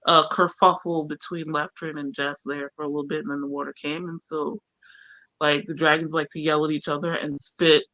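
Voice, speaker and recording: A woman with a bright, forceful voice talks casually as part of a book panel. She is wry, informal and unafraid to swear. The recording is dry but somewhat dull and lo-fi. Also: low voice, flat delivery, fairly quick, no echo. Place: online group live stream.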